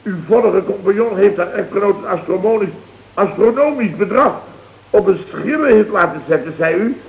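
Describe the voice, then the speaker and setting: An actor playing an indignant middle-aged boss of a building firm in an old radio comedy, exclamatory and colloquial, with a Dutch accent. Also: male voice, high-pitched, animated, normal speed, vocal fry. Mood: strained politeness. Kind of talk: 1970s radio comedy acting. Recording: dull, noisy old radio recording.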